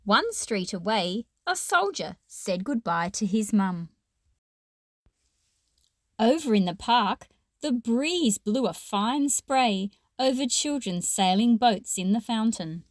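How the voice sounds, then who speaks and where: A woman's voice: a little high, animated, slow, dry recording, clean studio sound. A woman reading a children's picture book aloud expressively, with explanatory asides to young listeners.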